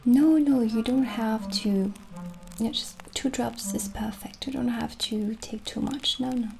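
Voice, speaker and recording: A woman plays a witch shopkeeper in a gentle ASMR roleplay. Her voice is fairly high yet soft and mellow, with a creaky edge, often thinning almost to a whisper. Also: poor audio. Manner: gentle, unhurried, often slipping into breathy near-whisper. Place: small dim indoor room set as a candlelit shop. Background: original music.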